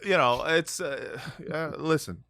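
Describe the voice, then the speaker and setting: A man's voice: fairly high pitch, a little slow, bright, forceful tone, no echo. A man co-hosting a podcast in casual chat, rambling with lots of fillers and restarts and laughing often. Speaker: podcast co-host.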